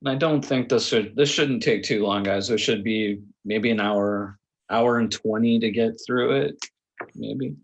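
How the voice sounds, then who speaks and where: A man leading a table read on a video call, talking casually and haltingly in a reassuring, informal way. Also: fairly low pitch, gravelly, breathy edge, low-quality recording. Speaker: film production lead running a table read.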